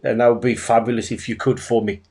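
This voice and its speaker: A man hosting a video call interview. His voice sits at an ordinary pitch, and he asks his questions in a friendly, lively way. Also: fast talker. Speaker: host. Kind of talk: interview host.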